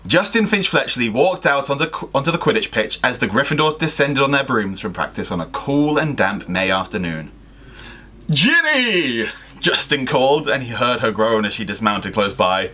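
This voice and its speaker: Young guy doing casual chat n storytime at home, bright forceful voice, really animated. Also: medium pitch, a little slow. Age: young adult. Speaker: co-host of a fan fiction video. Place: small indoor room at home.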